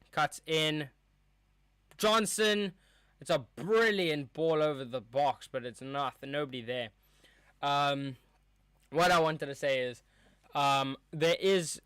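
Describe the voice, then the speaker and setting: Young male streamer calling a match live; loose and chatty, crisp clear voice. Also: medium pitch, a bit bright, no echo, studio quality. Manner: rambling, chatty, reacts to play as it happens. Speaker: streamer hosting a match watchalong. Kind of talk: casual live match commentary. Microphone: streaming mic with a cover on top.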